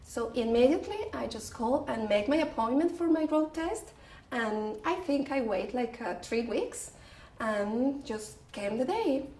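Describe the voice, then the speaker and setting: A woman from Mexico tells a personal story in a chatty way, speaking directly to her listeners. Her voice is on the higher side. She sounds distant from the microphone, and the room adds a noticeable echo. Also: animated, a little slow, a little mellow, slight background noise. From Mexico City. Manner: chatty, addressing the audience directly. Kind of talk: personal story told to a group. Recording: roomy, distant from the mic.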